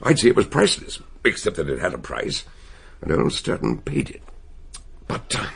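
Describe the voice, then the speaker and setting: An actor playing an eccentric elderly detective in a radio drama, with a genial, learned manner and chuckling, expressive delivery. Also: male voice, on the low side, normal speed, exaggerated voice. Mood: amused. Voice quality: gravelly, breathy voice. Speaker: amateur detective. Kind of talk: 1960s radio drama acting.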